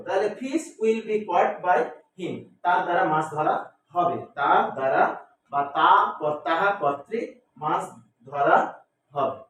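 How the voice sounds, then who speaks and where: A man with a Bengali accent teaching grammar in a didactic, classroom style, repeating phrases, spelling words out and answering his own questions. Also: sits high. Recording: echoey room, distant mic, faint noise.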